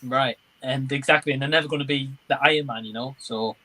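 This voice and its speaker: A man's voice: medium pitch, fairly even intonation, a little fast, sharp tone, rough recording. A man hosting a livestream, chatting informally and agreeably, rambling a little and reading out comments. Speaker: livestream host. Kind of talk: casual livestream chat, reading out viewer comments.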